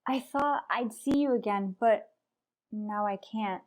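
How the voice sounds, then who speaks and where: A woman's voice: mid-range voice, a little slow, lightly acted. An actress playing a doomed young woman stowaway in an audio drama, tender, wistful and resigned. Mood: tearful, tender.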